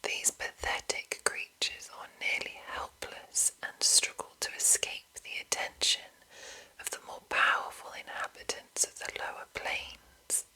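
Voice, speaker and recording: A woman whispering softly and deliberately in an ASMR style, reading aloud close to the microphone with a breathy, unvoiced voice. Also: low-quality recording. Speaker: ASMR host reading a monster manual.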